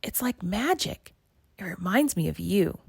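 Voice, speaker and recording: A woman reading the part of an earnest, excitable young girl who is a loyal friend, in a storybook. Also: medium pitch, measured pace, vocal fry, slightly rough, dry recording, clean studio sound, heavily acted. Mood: gentle.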